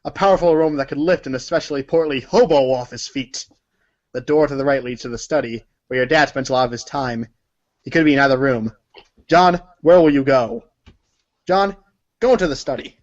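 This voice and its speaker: A man's voice: medium pitch, a little fast. A man narrating a read-along story in the second person, giving commands to a character. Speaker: narrator of a group reading. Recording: dull lo-fi audio.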